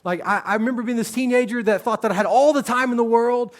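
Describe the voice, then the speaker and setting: A man, preaching a sermon on a church stage in a relaxed, joking, conversational way. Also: very high voice, fast talker. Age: 30s. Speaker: pastor.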